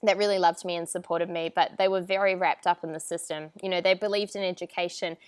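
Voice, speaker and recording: A woman's voice: fairly low pitch, steady tone, quick pace, smooth, dry recording. Upbeat woman from New Zealand, dry close sound. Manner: upbeat, chatty, often laughs and asks viewers for a yes. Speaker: online coach hosting a live training. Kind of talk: live-streamed motivational talk. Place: outdoor terrace with palm trees. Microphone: wired earphone mic on a video call.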